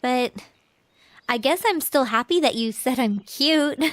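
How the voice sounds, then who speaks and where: An actress playing a bashful, earnest tomboyish teenage girl in anime game dialogue, halting and self-conscious. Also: high voice, lively intonation, dry recording. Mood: bashful, eager. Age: young adult.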